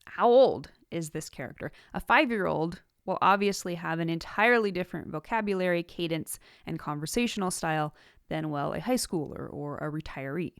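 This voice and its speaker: A woman with a slightly low voice delivers scripted instructional narration as a podcast host. Her manner is measured and orderly, laying out points one by one. The recording is close and dry, with very little room sound. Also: expressive, dry recording. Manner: measured, enumerating points clearly. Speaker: podcast host giving writing tips.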